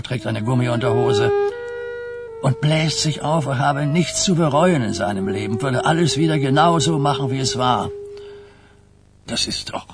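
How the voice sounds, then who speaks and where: An actor playing an old, weary and blunt New York mafia boss in a German radio crime drama, husky and grumbling. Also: male voice, medium pitch, natural voice. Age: older.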